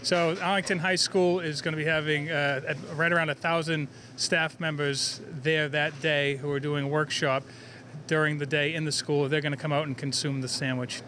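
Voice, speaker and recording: A man's voice: on the high side, flat delivery, moderate pace, pushed and bright. A man giving informal interview answers as a local business representative, with traffic and urban noise behind him. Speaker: sandwich shop representative being interviewed.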